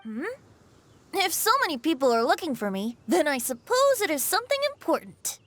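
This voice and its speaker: A woman's voice: high-pitched, big pitch swings, normal speed, fairly bright tone, no echo. A woman voicing a breezy, mischievous boyish male bard in an anime video game, with a playful manner. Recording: faint music behind the voice.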